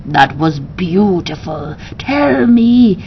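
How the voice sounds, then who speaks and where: A woman voicing a greedy, demanding monstrous blob from a storybook, speaking softly and slitheringly in slow, drawn-out commands. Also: very animated, exaggerated voice. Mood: sly, pleased. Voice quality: soft, slithery. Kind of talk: storybook read-aloud. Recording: dull sound with rumbling background noise.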